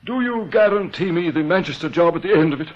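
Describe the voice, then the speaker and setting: An actor playing a prickly, self-important British bank manager in a radio drama, curt and humourless. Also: male voice, sits high, lively intonation, fast. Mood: brisk. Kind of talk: broadcast radio drama acting. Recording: dull noisy old radio recording.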